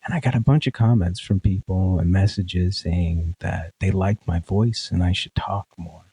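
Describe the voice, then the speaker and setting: Sleepy, gravelly low-voiced man. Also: moderate pace. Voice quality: sleepy, nasal. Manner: relaxed, many fillers and restarts. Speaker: guest, pedal demo creator. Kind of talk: casual interview.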